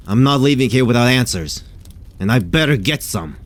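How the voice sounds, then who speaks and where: A man's voice: mid-range voice, expressive, normal speed, slight background noise, lightly acted. A man playing a defiant, confused captive who wants answers, confrontational, in an audio drama.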